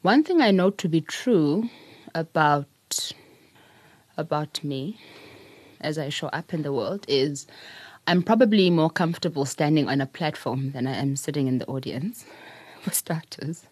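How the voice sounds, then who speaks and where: A middle-aged South African woman, a public speaker, telling her story in an interview in an earnest, reflective way, with soft laughs. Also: deep voice, lively intonation, unhurried, pauses often, husky, dry recording. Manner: earnest, repeats words, laughs softly while telling stories.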